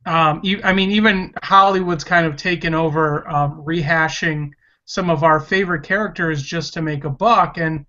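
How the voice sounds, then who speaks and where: A man's voice: on the high side, steady tone, moderate pace, bright, forceful tone. A man of thirty-two hosting a casual podcast, speaking with enthusiasm and frequent restarts. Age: 30s. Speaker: podcast host. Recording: dull lo-fi sound.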